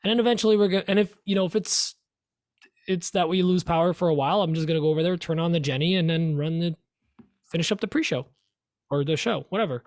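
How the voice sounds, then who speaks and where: High-voiced chatty man. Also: fast, dry recording. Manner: chatty and upbeat, trailing restarts. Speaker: podcast co-host. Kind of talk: casual chat.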